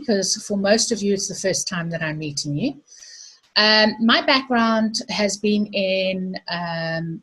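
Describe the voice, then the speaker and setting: A woman's voice: mid-range voice, fairly bright tone, breathy edge, rough recording. A middle-aged woman with a South African accent, presenting a webinar in a casual, hesitant way with frequent ums. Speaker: webinar host.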